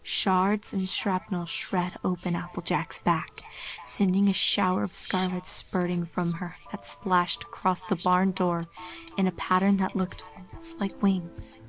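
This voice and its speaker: Woman narrating horror over clear music. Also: lowish voice, level tone, unhurried, poor audio. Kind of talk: dramatic scripted horror story narration.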